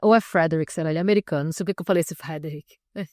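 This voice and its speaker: A woman's voice: low voice, racing, dry recording, clean studio sound. Chatty woman co-hosting a podcast. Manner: chatty, relaxed, wanders into asides. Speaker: podcast co-host. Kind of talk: casual storytelling chat.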